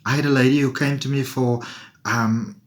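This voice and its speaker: A man's voice: mid-range voice, fairly even intonation, normal speed. A man giving a playful, chatty tarot reading, laughing often and talking to viewers. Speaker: tarot reader. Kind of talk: casual tarot reading monologue.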